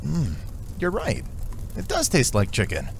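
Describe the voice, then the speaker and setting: An actor playing an eager, stubborn young soldier in a fantasy audio drama. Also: male voice, medium pitch, huge pitch swings, unhurried, vocal fry, a little hiss or hum, exaggerated voice. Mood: questioning.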